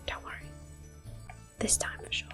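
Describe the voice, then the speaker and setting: A woman giving gentle, hushed, unhurried ASMR commentary on a video game, soft-spoken and often whispering. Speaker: gameplay video host. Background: game music.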